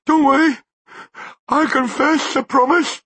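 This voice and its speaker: A man doing a shrill, panicking hired killer. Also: expressive, measured pace, bright, forceful tone, husky, heavily acted. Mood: sobbing panic. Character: hired killer man, sneering then terrified.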